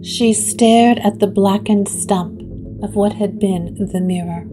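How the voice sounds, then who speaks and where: Slow-paced woman narrating gothic drama. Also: mid-range voice, fairly even intonation, low-quality recording. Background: music.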